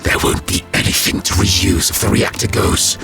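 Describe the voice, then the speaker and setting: A man voicing a grim, vengeful reptilian alien warrior in a science fiction audio story, with a harsh, low, gravelly voice and solemn, deliberate delivery. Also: steady tone, fairly bright tone, soft background music, full character voice. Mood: solemn, goading. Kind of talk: dramatic audio story acting.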